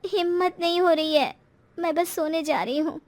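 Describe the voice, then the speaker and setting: Woman playing high voice, middle-aged mother, meek, hesitant, weary, storybook housewife. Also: moderate pace. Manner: hesitant, submissive.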